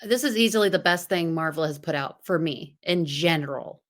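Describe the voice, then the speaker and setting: A woman's voice: sits low, animated, moderate pace. Laughing, teasing woman podcast guest. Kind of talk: casual podcast chat.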